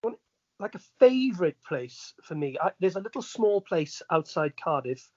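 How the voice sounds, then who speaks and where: A man's voice: high voice, big pitch swings, moderate pace, darkish tone, no room sound, lo-fi sound. A middle-aged Welsh man chatting casually as an interview guest, telling anecdotes and checking that the listener follows. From Wales.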